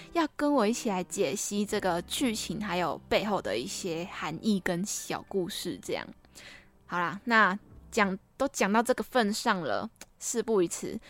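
A woman's voice: medium pitch, a bit bright. Playful young woman hosting a podcast. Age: young adult. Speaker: podcast host. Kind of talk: casual, chatty podcast monologue.

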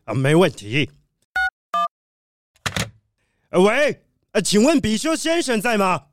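A man playing a polite, excitable treasure collector in a children's audio drama, with a dramatic storytelling manner. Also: high voice, normal speed, background noise. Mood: polite, flustered.

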